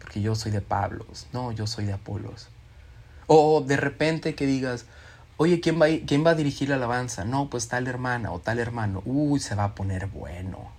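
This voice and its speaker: Man, preacher, expressive sermon delivery, acts out quoted voices. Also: mid-range voice, normal speed.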